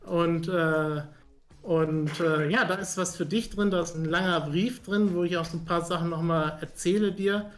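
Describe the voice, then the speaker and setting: A young man chatting casually on a livestream as a sports streamer, in an earnest, halting way. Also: fairly high pitch, fairly even intonation. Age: young adult. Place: small home studio room, on a video call.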